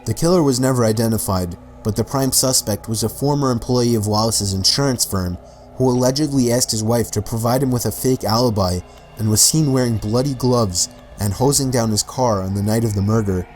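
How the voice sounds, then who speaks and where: A man's voice: mid-range voice, brisk, darkish tone, soft background music, poor audio. A man delivering scripted documentary-style narration about real mysteries. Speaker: video narrator.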